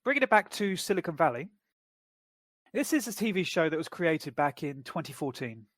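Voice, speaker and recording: A man co-hosting a podcast, chatting casually and explaining plots in a measured way. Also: a little high, big pitch swings, a bit bright, no echo, clean studio sound. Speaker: podcast co-host. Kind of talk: casual chat.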